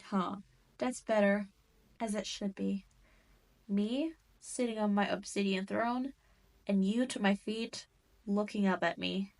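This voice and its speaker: A woman's voice: medium pitch, fairly even intonation. A haughty, possessive demon queen from a roleplay audio drama, performed by a woman. She speaks at a very leisurely pace in a mid-range female voice, stretching out her taunts. Her delivery is mocking and condescending, aimed directly at the listener. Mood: gloating, mocking. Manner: teasing, condescending, drawn-out delivery.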